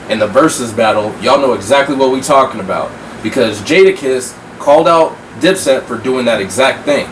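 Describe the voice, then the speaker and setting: A male podcast host speaks casually, hyping his guest and calling out to the audience at home. He sounds a step back from the microphone in an echoey room. A faint air-conditioning hum runs behind him. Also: medium pitch. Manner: hypes the guest and addresses viewers as y'all. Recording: roomy, distant mic with faint hum.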